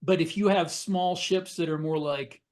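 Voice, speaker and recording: A man talking as a podcast panelist, explaining his points casually in a measured way. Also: fairly high pitch, fairly even intonation. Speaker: podcast panelist and science fiction author.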